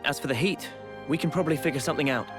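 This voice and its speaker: A man's voice: a little high, rapid-fire, over music, close to an everyday voice. A man playing an easygoing young swordsman in a video game, mild and thoughtful. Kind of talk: video game cutscene acting.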